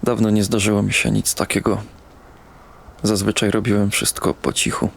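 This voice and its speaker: A young man playing a troubled, numb young narrator in an audio drama, speaking in short, subdued, halting first-person sentences. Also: lowish voice, level tone, creaky, a little hiss or hum. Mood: numb, uneasy. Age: young adult.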